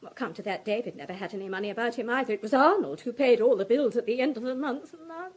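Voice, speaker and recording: An actress playing a fragile, distraught countess of thirty-nine in a radio drama, halting, emotional and apologetic. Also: female voice, fairly high pitch, expressive, breathy edge. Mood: tearful. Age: 30s.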